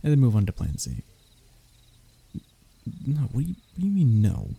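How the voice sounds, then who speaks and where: A man's voice: mid-range voice, huge pitch swings, moderate pace, vocal fry, dark tone, slightly rough, dry recording, full character voice. A man playing a stubborn, scheming, lovestruck young human royal held captive, in a close audio roleplay with flowery wording and flustered stammers. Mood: frustrated, defiant. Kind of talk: audio roleplay acting, addressing the listener closely.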